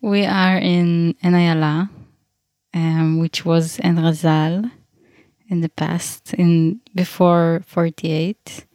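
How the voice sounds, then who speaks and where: A woman in her thirties, originally from Ukraine, speaking as a guest in a casual interview and pausing often with fillers while she searches for words. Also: on the low side, flat delivery, mellow, clear, resonant tone, dry recording, studio quality. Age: 30s. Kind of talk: casual conversation.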